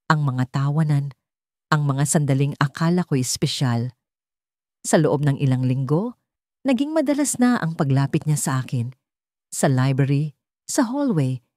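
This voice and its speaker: A woman's voice: sits low, a little mellow, dry recording, studio quality. A woman reading a scripted first-person romantic story as a storyteller.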